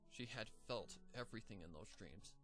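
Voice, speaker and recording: A man narrating a dark fan story in a measured, grim storytelling manner over background music. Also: lowish voice, expressive. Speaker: fanfiction narrator. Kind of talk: dramatic story reading.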